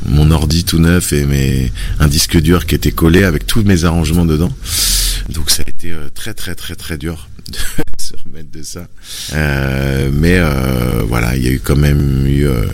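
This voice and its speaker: Man, extremely low voice, musician guest, hesitant, lots of pauses and restarts. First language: French. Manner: hesitant, many fillers and restarts. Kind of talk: relaxed conversational interview.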